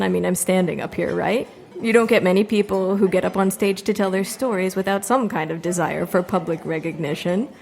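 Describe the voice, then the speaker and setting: A woman's voice: medium pitch, gravelly. A woman playing a young adult performer giving a chatty, self-deprecating open mic monologue. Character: wry young woman doing a stage monologue.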